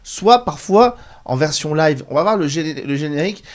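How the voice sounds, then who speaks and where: A man's voice: on the high side, lively intonation, moderate pace. A man hosting a conversational interview, presenting and steering the discussion. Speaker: show host.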